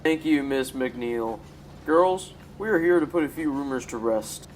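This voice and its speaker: A young man acts a formal manager in a radio play. His voice is medium-pitched and official, with faint background noise. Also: expressive, unhurried, natural voice, poor audio. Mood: measured. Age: teenage high school student. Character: formal factory manager making an announcement. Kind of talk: radio drama acting.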